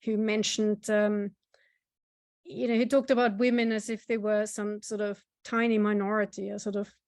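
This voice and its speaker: A woman speaking on a video call as a panel moderator and speaker, earnest, talking quickly at times. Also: mid-range voice, level tone, darkish tone, dry recording, studio quality. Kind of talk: casual panel talk.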